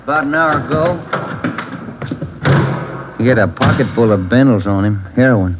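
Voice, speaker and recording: An actor playing a steady male police detective partner in a 1940s radio drama, conversational and plain, in a noisy, dull recording. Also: medium pitch, normal speed, natural voice.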